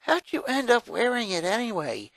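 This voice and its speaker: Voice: medium pitch, wildly swooping pitch, moderate pace, fairly bright tone, dry recording, voice pushed up, poor audio. A narrator voicing a friendly, curious nerdy preteen boy in a cartoon fan-fiction reading.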